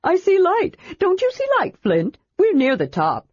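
A woman voicing a cheerful, chatty young male kender, eager and quick to take offense, in a storybook reading. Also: fairly high pitch, breathy edge, no room sound, pitched above the performer's own voice, full character voice. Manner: eager, indignant, excitable. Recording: dull lo-fi recording.

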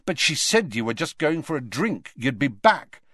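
A man voicing a desperate, sneering teenage boy wizard who talks harshly, his voice shaky and breaking upward into shouts. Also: medium pitch, very animated, normal speed, slightly rough, no room sound, clean studio sound, voice pushed up. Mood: defiant.

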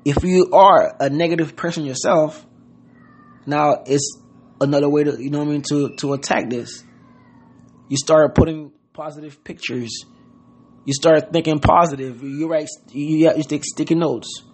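Guy on his podcast, earnest, kinda high voice, keeps saying you know what i mean. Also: steady tone, normal speed, a little mellow, slightly rough. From Haiti. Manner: earnest, repeats words, loose grammar, many "you know what I mean". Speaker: podcast host. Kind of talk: casual motivational monologue.

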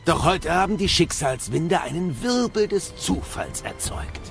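Casting: ancient wise captive, swooping delivery, creaky edge, cheeky and eloquent, fantasy audio drama. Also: male voice, high-pitched, sing-song, a little slow, soft background music, full character voice. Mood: boastful, explanatory. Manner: eloquent, sardonic. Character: cheeky, boastful wise male captive, ancient know-it-all.

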